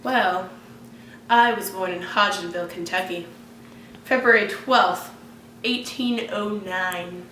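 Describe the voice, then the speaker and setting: A young woman putting on a humble male president who grew up poor on a farm. His words come slow, lowered and drawn out. The sound is echoey. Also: sharp tone, recorded from a distance, a little hiss or hum. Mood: solemn.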